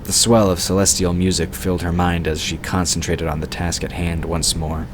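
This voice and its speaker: A man narrating a scripted fantasy story in a measured storytelling manner. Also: sits low, little pitch movement. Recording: noisy, with water sound effects behind. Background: rushing water ambience.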